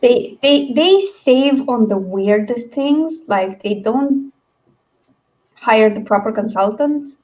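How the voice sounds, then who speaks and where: A woman's voice: a little high, slow, darkish tone, highs cut off. A woman hosting a livestream book discussion in a casual, rambling, chatty way. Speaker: host of a book read-along livestream.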